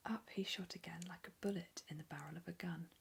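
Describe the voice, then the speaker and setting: Low whispery lady reading a story, bit of hiss. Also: fairly even intonation, brisk, vocal fry.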